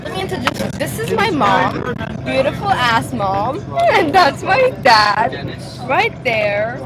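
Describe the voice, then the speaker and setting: A teenage girl talks into her phone camera on a crowded bus, teasing her friends and cracking up. Her voice sits high and swoops up and down a lot. Other kids chatter all around her. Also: big pitch swings, slow, poor audio. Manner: giggly, loud, teasing, breaks into laughter. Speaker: vlogger filming on a class trip. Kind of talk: goofy casual vlog chat. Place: inside a moving tour bus. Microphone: phone camera held at arm's length. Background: chatter of other passengers.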